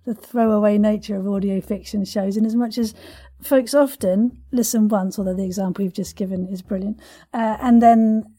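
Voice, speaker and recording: A woman's voice: medium pitch, steady tone, moderate pace, hardly any pauses, mellow. A woman hosting a casual podcast interview, chatty and effusive, with playful turns of phrase.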